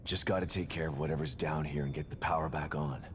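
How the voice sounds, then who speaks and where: A man's voice: a bass voice, steady tone, fast talker, background noise. An actor playing a weary, grim, guarded American detective father in a video game, brooding and skeptical. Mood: wary, brooding. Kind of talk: video game cutscene acting.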